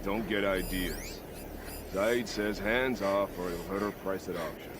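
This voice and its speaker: An actor playing a gruff, sly, menacing bandit guard in a video game. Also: male voice, sits low, measured pace, background noise.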